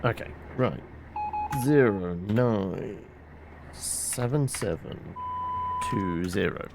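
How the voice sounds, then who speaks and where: Guy muttering thru a game stream, kinda slow and gravelly, unsure of himself, noisy background. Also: fairly low pitch, expressive. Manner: thinking aloud, muttering and hesitant. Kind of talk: casual live gameplay commentary.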